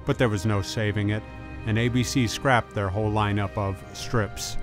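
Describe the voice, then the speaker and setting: A man's voice: on the low side, fairly even intonation, normal speed. A man reading scripted documentary narration in informal, conversational wording. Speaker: narrator and presenter. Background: guitar music.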